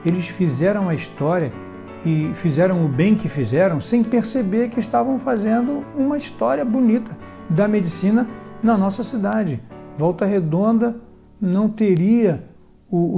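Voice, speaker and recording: A man hosting a tribute web series, speaking earnestly and haltingly with many restarts. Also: sits high, mellow, faint music behind. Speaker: host.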